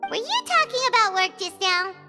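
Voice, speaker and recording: A woman voicing a tiny floating girlish companion, excitable and chatty, in a high squeaky voice. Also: normal speed. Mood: curious. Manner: bouncy, eager exclamations. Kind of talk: video game voice acting. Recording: game audio with music.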